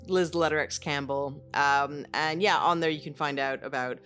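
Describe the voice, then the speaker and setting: A woman chatting casually as a podcast co-host, halting and self-deprecating. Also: low-pitched, fairly even intonation, a bit bright, soft background music.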